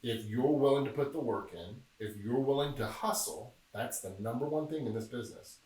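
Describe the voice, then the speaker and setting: A middle-aged man from Memphis, Tennessee, talking casually as a podcast guest and telling stories with a joking, relaxed manner. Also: mid-range voice, a little fast. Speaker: podcast guest, film producer. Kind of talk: casual interview chat. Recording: echoey room, distant mic.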